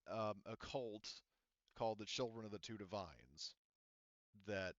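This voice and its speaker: A man's voice: lowish voice, measured pace, no echo, highs cut off. A man serving as game master, relaying a character's words to the players in a hesitant, filler-heavy way. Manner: hesitant, filler-heavy, says "you know" and "like" often.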